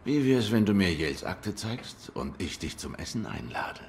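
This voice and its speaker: A cool feline detective from a noir video game. His voice sits low, and he talks in terse, dry, probing lines. Soft music runs underneath. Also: sing-song, normal speed. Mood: coolly coaxing.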